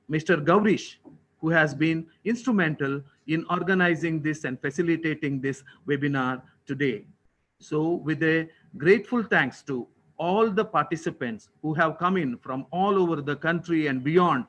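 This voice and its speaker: A man with an Indian accent giving a formal, prepared thank-you speech as a college official, with deliberate, ceremonious and courteous phrasing. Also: fairly high pitch, fairly bright tone.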